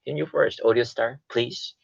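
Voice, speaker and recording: A man chatting casually as a radio panelist, with halting, fragmented phrasing. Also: mid-range voice, fairly even intonation, creaky, muffled recording, slight background noise. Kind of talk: casual studio chat. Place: radio studio.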